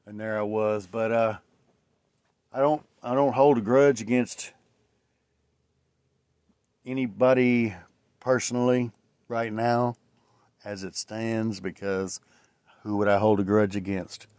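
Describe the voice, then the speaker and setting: A man in his late forties talks through his own solo podcast in a low voice. He rambles and reflects, with plenty of fillers and restarts. The sound is close and dry, with no room echo. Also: flat delivery, slow, pauses often, darkish tone, clean tone. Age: 40s. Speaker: podcast host. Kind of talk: casual solo podcast monologue.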